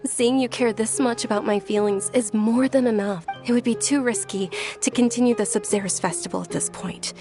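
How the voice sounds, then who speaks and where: A woman voicing a gentle, selfless young female dancer in a video game, speaking sincerely and reassuringly. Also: a little high, a little fast, hardly any pauses, over music, natural voice. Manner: soft-hearted, reassuring, sincere.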